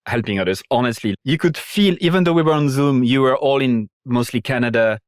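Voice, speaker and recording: A man's voice: medium pitch, a little fast, dry recording, clean studio sound. A man hosting a casual, probing interview in a blunt, informal way, swearing freely. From Ireland or living there. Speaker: interviewer, host and marketing consultant.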